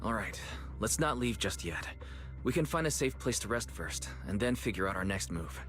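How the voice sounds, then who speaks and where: Earnest, expressive young man. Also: on the low side, normal speed. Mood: thoughtful. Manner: encouraging, concerned. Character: earnest, caring young man leading a team. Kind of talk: English video game voice acting. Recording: music behind the dialogue.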